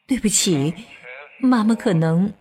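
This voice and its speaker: A woman playing a gentle, dutiful doctor mother with a soothing, earnest manner in a children's radio drama. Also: medium pitch, expressive, a little slow, natural voice. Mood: apologetic, earnest.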